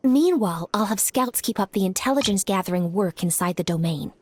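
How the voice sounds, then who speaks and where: A woman playing a composed, dutiful female military commander in a video game, speaking in a measured, formal, businesslike way. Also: medium pitch, expressive, darkish tone, husky, dry recording. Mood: earnest, measured. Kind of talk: scripted video game voice acting.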